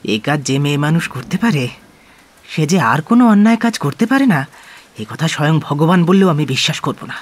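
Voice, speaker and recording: A man acting a bitter, suspicious husband in a Bengali audio drama, husky and accusing, snapping out taunting questions. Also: a little high, big pitch swings, normal speed. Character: bitter, suspicious, ailing husband, prone to abusive rage.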